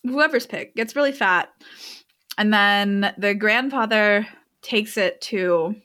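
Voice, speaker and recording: A woman's voice: mid-range voice, slow, pushed and bright, clear, resonant tone. Chatty young woman rambling through a story. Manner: rambling, filler-heavy retelling with many restarts. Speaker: storyteller recapping a book. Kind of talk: casual chat.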